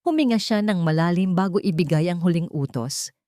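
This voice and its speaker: Female narrator, lower register, deliberate dramatic storytelling, very dry recording. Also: animated, warm tone, dry recording.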